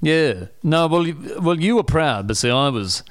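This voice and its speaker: Lively-voiced man laughing at himself. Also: fairly high pitch, normal speed, no echo, clean studio sound. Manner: self-deprecating, laughs often, many restarts. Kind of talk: casual conversational storytelling.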